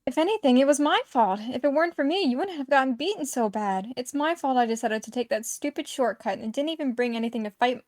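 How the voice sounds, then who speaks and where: A stubborn young heroine, voiced by a woman, high-pitched and emphatic. She sounds earnest and easily flustered, like a dating-game protagonist, recorded close and dry. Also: expressive, quick pace, hardly any pauses, dry recording. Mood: protesting.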